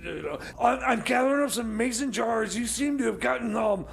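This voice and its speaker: Very high, creaky-voiced stammering man. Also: a little fast. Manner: hesitant, stammering, eager. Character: Jeb, flustered eager bunker sidekick full of schemes. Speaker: comedy radio co-host. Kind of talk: improvised comic banter.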